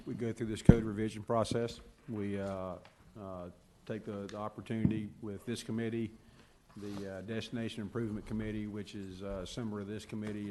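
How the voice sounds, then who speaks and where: A man on county staff briefing a public committee meeting in a steady, procedural way. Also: fairly low pitch, noisy background. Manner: steady, procedural, many fillers.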